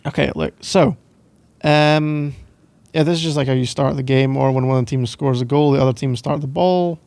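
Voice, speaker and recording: A man's voice: mid-range voice, fairly even intonation, moderate pace, creaky, darkish tone, no echo. A young Scottish man doing casual boyfriend roleplay ASMR in a playful, teasing, rambling way, close to the microphone. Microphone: close microphone, dry sound.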